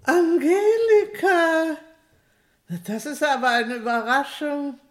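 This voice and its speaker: A woman's voice: high voice, slow, a little hiss or hum. A woman playing a polite, welcoming housekeeper in a German radio crime drama.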